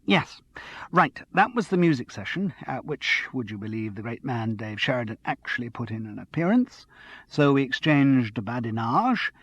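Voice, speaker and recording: A man reading an audiobook, voicing an excitable, slightly tipsy young woman who chatters on without pause. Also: medium pitch, slightly rough, no room sound, lo-fi sound, full character voice. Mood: giddy. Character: giddy, tipsy young woman studio manager.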